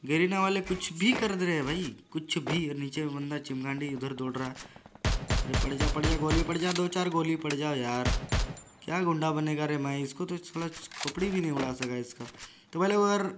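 A hoarse young man gives rowdy, joking, trash-talking commentary over his own gameplay, recorded without a proper mic. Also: fairly high pitch, moderate pace, very noisy. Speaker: gaming YouTuber. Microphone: built-in device mic, no external mic.

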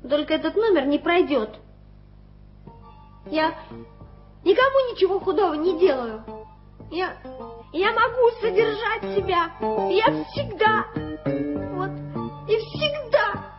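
Defiant street waif Tommy. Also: female voice, very high voice, lively intonation, slow, long pauses, music in the background, heavily acted. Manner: blunt, suspicious, defiant. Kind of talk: 1980s radio play acting. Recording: dull lo-fi radio play recording.

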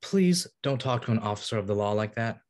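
A man's voice: lowish voice, expressive, fairly quick, a little mellow, lightly acted. A man playing a serious, suspicious campus police officer in a comedy table read, stern and officious. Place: indoor room, video call.

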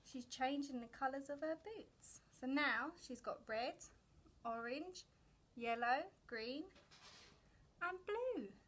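A young woman with a high voice tells a picture-book story to little children. She goes very slowly, in a sing-song way, addressing her young listeners directly. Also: expressive, fairly bright tone. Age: young adult. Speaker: children's storyteller at a family centre. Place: indoors, decorated story corner.

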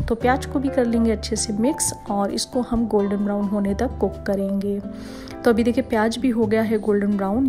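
A young woman with a Rajasthani accent, narrating a cooking vlog and explaining each step calmly. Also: mid-range voice. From India. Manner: steady explanatory, step-by-step instructions. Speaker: vlogger.

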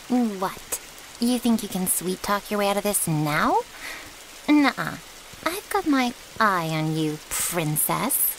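A woman's voice: medium pitch, very animated, slow, fairly bright tone, exaggerated voice, poor audio. A woman playing a cheeky, loyal vampire's servant in an intimate ASMR audio roleplay, with a casual, teasing way of talking. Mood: teasing, smug. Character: cheeky, flirty, loyal female thrall of a vampire. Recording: hissy, rain-like background noise.

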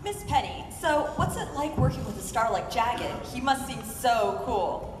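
A woman's voice: a little high, animated, measured pace, music in the background. A woman voicing a cheeky, gushing teenage girl blogger at a cartoon table read, upbeat in manner. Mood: curious, gushing. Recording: echoey hall with clear noise.

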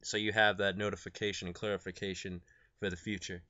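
Young male phone agent, bright tone, courteous and halting, lo-fi call audio. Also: deep voice, little pitch movement. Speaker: prank caller. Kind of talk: phone call, posing as a customer service agent. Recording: dull lo-fi phone call recording.

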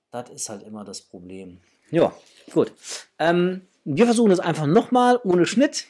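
A middle-aged man with a slightly husky voice, chatting casually and enthusiastically to viewers as the host of an unboxing video. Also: mid-range voice, a little mellow. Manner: enthusiastic, effusive. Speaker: host of a toy collecting channel. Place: small room at home with framed posters, indoors.

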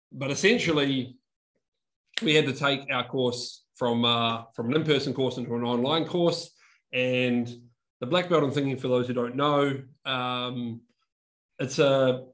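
A young man from New Zealand, his accent softened by years in Australia, giving a chatty online conference talk over a video call from a room indoors. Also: mid-range voice. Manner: casual, chatty, restarts words often, laughs at himself. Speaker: conference speaker. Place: room with a whiteboard and brick wall, indoors. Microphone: video call webcam.

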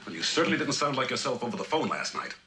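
An actor playing a stiff, resentful scientist in a mid-century television drama, speaking with clipped, pointed politeness. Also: male voice, mid-range voice, very animated, very fast, natural voice. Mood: peeved, stiff. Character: stiff, resentful, overconscientious male scientist colleague. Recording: very noisy, roomy old television soundtrack.

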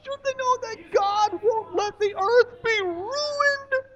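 A man voicing a naive, excitable, childlike boy puppet in a squeaky voice at a live comedy show. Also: slow, highs cut off, exaggerated voice. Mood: confused, anxious. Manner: squeaky, exclamatory, gullible. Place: small indoor stage.

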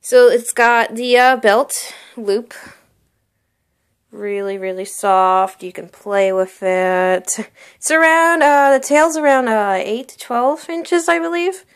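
A woman's voice: a little high, slow pace, smooth. A young woman showing off a costume for sale in her bedroom, talking casually and gushing with lots of "like" and "really, really". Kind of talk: casual show-and-tell vlog. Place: bedroom, indoors.

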